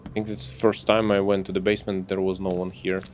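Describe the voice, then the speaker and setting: A man's voice: sits low, level tone, fast talker, soft background music. A young man from Belarus with a Russian accent, casually commenting on a game as he plays and musing aloud about what he finds. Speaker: streamer.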